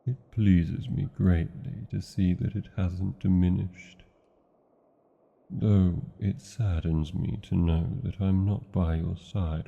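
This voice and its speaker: A British man playing an old, tender male dragon in a fantasy ASMR roleplay, speaking slowly and formally in a husky, gravelly voice. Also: muffled recording, exaggerated voice. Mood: tender, pleased, then wistful. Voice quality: husky, gravelly, dark low voice. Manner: slow, deliberate, formal phrasing.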